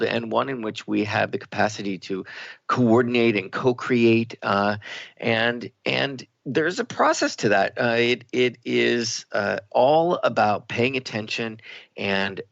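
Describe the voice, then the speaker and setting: A man's voice: lowish voice, gravelly, no room sound. A man hosting a radio talk show, talking reflectively with frequent fillers. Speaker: radio host. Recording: dry, muffled lo-fi line.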